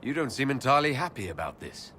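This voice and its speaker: A skeptical elf commander from a fantasy game, with a bright voice and very lively intonation. He is composed and articulate, with ambient noise behind him. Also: medium pitch, fast. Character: composed, skeptical male elven Inquisitor, fantasy leader. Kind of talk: video game cutscene acting.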